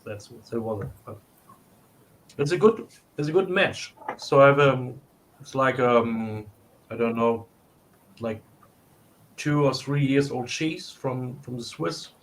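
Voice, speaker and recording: A man's voice: medium pitch, slow pace, long pauses, poor audio. A man with a German accent hosting a casual tasting, searching for his English words as he goes. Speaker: tasting host and shop owner.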